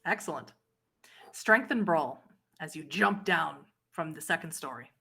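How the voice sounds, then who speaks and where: Low-voiced woman narrating horror roleplay. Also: animated, gravelly, pushed and bright, breathy edge. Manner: deliberate, dramatic scene-setting with pauses. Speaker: game master.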